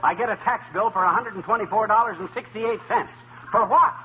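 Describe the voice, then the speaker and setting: A man acts out a grumbling middle-aged husband from a 1940s radio comedy. He is loud, indignant and slangy, with a highish voice. The old recording is thin and full of noise. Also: brisk, phone-quality sound, lightly acted. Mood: sarcastic outrage.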